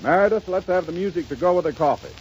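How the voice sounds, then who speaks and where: A man's voice: high voice, animated, quick pace, a little hiss or hum. An American man announcing in the style of a 1930s radio commercial, on a muffled vintage broadcast.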